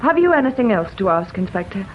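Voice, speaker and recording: A woman's voice: mid-range voice, sing-song, fast talker, close to an everyday voice. Actress as a haughty Englishwoman, clipped and cool. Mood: icy, defensive. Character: cool, haughty married woman, sharp-tongued and defensive. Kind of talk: British radio drama acting. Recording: dull radio drama recording with hiss.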